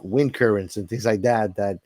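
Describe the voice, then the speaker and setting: A man hosting a casual movie discussion, haltingly thinking aloud and repeating words as he goes. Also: fairly low pitch, darkish tone. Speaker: podcast host.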